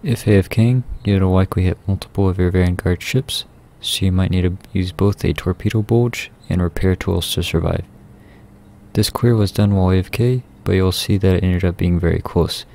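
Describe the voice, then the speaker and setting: A man reading a scripted video game guide in a measured, instructional way. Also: low voice, steady tone, gravelly, dark tone. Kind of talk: scripted guide narration over gameplay.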